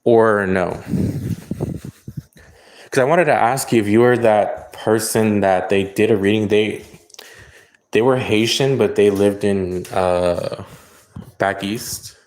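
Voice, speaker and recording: Brief: man from California, low-ish voice, relaxed livestream reader, chatty and full of fillers, reacting to chat as it comes. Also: slow, pauses often. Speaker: psychic reader hosting a livestream. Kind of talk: casual livestream chat answering viewer comments.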